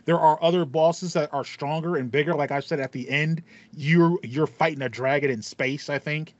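A man's voice: fairly high pitch, fairly even intonation, normal speed, dry recording. A man in his thirties chatting casually as a podcast co-host, rambling enthusiastically and repeating phrases, on slightly muffled call audio. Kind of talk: casual chat about video games. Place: small home room with acoustic foam. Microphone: headset, video call.

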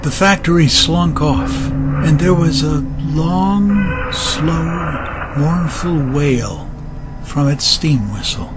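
A man's voice: on the high side, drawn-out words, loud music behind the voice. A man narrating a dramatized audiobook story.

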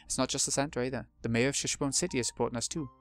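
A man's voice: medium pitch, fairly even intonation, rapid-fire, soft background music, lightly acted. A man voicing an earnest, eager boy reassuring a friend.

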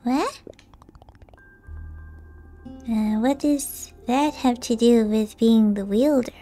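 Woman as a hesitant, slow-spoken young game hero. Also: a little high, dark tone, soft background music. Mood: puzzled. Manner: hesitant, questioning. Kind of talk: reading game dialogue aloud.

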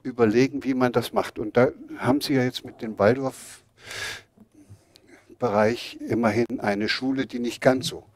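A man's voice: medium pitch, steady tone, unhurried, no echo. Blunt older man answering questions outdoors. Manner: blunt, emphatic, frank. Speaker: speaker answering audience questions. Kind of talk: outdoor lecture Q&A. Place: outdoors in a garden before a seated audience.

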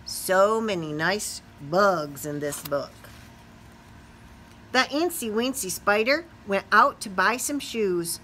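Middle-aged woman teacher, bright sing-song storybook reading, unhurried pace, questions to young children. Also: medium pitch, lively intonation, slight background noise. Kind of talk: expressive children's storybook read-aloud. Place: small classroom or office, indoors.

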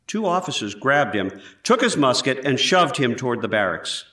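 A man playing an 18th-century surgeon who gives measured, precise testimony in a scripted trial re-enactment. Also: medium pitch, very animated, bright, forceful tone. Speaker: actor in a historical trial re-enactment. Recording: roomy echo, distant from mic.

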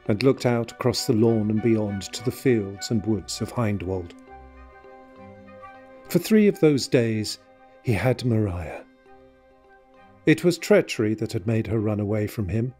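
An actor playing a solemn narrator who reads a novel chapter aloud in an audio drama, measured and grave. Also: male voice, on the low side, darkish tone, husky.

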